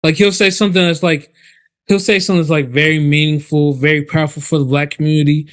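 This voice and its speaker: A man co-hosting a podcast, chatting in a relaxed, slangy way with plenty of "like". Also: fairly high pitch, fast. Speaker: podcast co-host. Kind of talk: casual chat.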